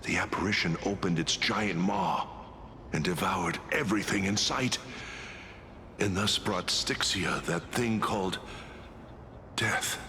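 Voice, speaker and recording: A man's voice: sits low, slow, long pauses, big-room sound, a little hiss or hum, full character voice. A man playing a courteous, weary and earnest military commander in a video game, formal but hesitant. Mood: grave, weary. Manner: formal, hesitant, sighing, expressive.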